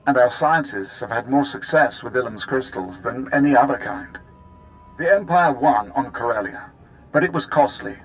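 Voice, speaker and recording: Measured, pragmatic Imperial official played by a man. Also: medium pitch, lightly acted, low-quality recording. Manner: measured, matter-of-fact briefing. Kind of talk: dramatic video game acting.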